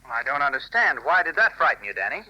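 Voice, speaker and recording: An actor playing a kindly, assured newspaper reporter, reassuring and probing, in a 1940s radio drama. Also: male voice, on the high side, very animated, fast talker, bright, forceful tone, slight background noise, exaggerated voice, low-quality recording. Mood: calm, probing.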